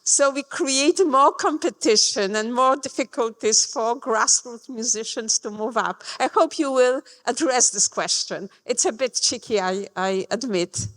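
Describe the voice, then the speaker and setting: A woman chairing an event gives an informal introduction in halting phrases. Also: on the high side, a bit bright. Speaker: session chair introducing a speaker. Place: large reverberant room at a live event. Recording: echoey hall with long reverb.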